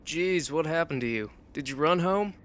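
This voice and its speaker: A woman's voice: low-pitched, animated, moderate pace. A woman playing an easygoing, affectionate, teasing housemate in an anime fan audio drama. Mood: concerned, affectionate.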